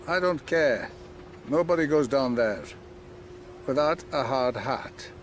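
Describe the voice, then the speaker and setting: A man playing a gruff, officious construction site guard in a video game, brusque and bossy. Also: medium pitch, animated, slow pace, a little hiss or hum. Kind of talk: scripted video game cutscene dialogue.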